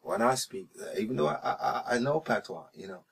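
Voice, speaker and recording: A man's voice: medium pitch, animated, fairly quick, creaky, husky. A man with a slight Jamaican-sounding lilt, answering interview questions in a recording studio and pronouncing his words carefully, with many "you know"s. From New Jersey, raised in North Carolina. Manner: careful enunciation, frequent "you know" and restarts. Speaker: reggae singer-songwriter, interview guest.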